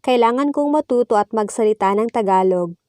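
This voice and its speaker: A woman's voice: a little high, quick pace, a little mellow, dry recording. A woman reading both parts of a scripted practice dialogue, each line followed by its translation. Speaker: language teacher.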